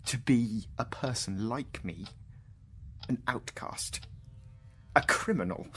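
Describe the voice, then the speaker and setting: A man's voice: mid-range voice, lively intonation, slow pace, fairly bright tone, slight background noise. Man acting an anguished, volatile mathematician, radio drama. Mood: bitter, wounded. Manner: agitated, swinging between outbursts and faltering murmurs. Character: anguished, disbelieving mathematician facing a machine, outcast and bitter.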